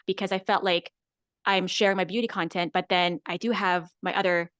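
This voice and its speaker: A woman's voice: on the low side, steady tone, fairly quick, fairly bright tone, smooth, dry recording, clean studio sound. A woman from the Philippines, a guest content creator chatting casually in an interview, filling her talk with "like" and "right?". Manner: chatty, many "like" and "right?" fillers.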